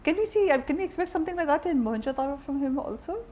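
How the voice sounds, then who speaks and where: A woman's voice: sits high, lively intonation, very fast, a little mellow, a little hiss or hum. A woman interviewing conversationally, asking direct questions in an informal way. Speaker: interviewer, journalist.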